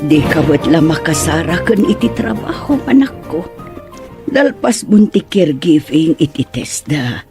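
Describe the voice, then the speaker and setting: A woman's voice: deep voice, very animated, unhurried, over music, heavily acted. A woman with an Ilocano accent playing a concerned older mother in a radio drama.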